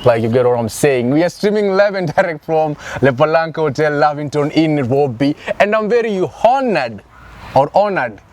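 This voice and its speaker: A man's voice: a little high, lively intonation, normal speed, a little mellow. A man in his twenties with a Kenyan accent, hosting a casual chat interview and joking as he mixes Swahili slang with English. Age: 20s. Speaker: host.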